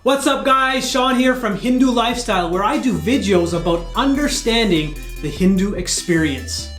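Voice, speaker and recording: A man's voice: sits high, lively intonation, moderate pace. A man in his thirties delivering scripted commentary as a video channel host. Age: 30s. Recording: roomy echo with music behind. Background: background music.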